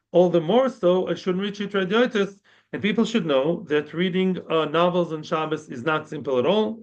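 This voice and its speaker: A man giving a conversational Talmud lesson, explaining terms and mixing in Hebrew and Yiddish words. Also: high-pitched. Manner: conversational, frequent "yeah" and restarts. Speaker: rabbi teaching Talmud. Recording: dull lo-fi audio.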